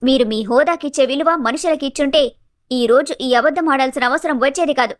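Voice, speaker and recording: A woman voices a composed young daughter-in-law from an animated family tale. Her pitch is high, and she stands her ground with polite, reproachful firmness. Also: fairly quick, lightly acted. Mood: firm, reproachful. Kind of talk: animated family story acting.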